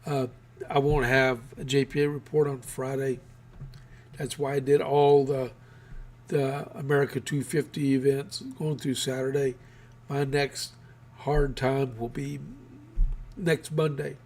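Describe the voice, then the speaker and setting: A man's voice: mid-range voice, little pitch movement, a little slow, pauses often, a little mellow. A man from Texas hosting his own political news show, talking casually with many fillers and restarts while losing his voice.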